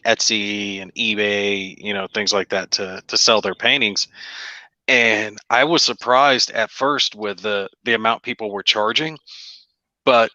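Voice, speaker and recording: Guy from the south cohosting a chat, really bright edgy voice, dry but kinda lo-fi audio. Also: lowish voice, no echo. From American South. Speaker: co-host, interviewer. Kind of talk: casual interview chat.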